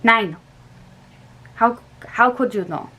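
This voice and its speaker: A woman's voice: a little high, takes it slowly, bright, forceful tone, lo-fi sound, full character voice. A young woman reading aloud and voicing a weary middle-aged male professor with a put-on German accent, speaking emphatically. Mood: earnest. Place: small bedroom indoors. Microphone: webcam at close range.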